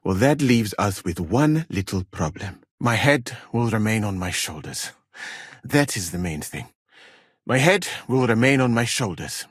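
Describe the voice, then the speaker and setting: Casting: courteous, learned traveler-physician for a game; lowish husky voice, formal careful wording, expressive swings in pitch. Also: male voice, no echo, clean studio sound. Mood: calm, practical. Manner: measured, formal, careful phrasing. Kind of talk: video game character dialogue.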